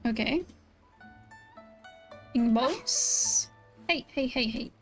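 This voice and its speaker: A woman streamer giving bubbly, chatty commentary over a game playthrough and reading its text aloud. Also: high voice. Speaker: gaming streamer.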